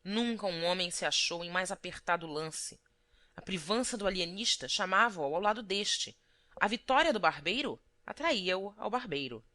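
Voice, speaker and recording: A woman delivers scripted literary narration. Her voice is bright and forceful at a middling pitch. She phrases the prose formally and with measured care, as an audiobook reader. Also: lively intonation.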